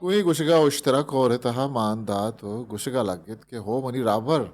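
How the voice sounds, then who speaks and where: A man's voice: medium pitch, a little slow, darkish tone, natural voice. A man with a Balochi accent voicing a big, wise camel in a storybook fable, taunting and lecturing. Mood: mocking. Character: stern mocking male camel, big and wise. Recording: music behind the voice.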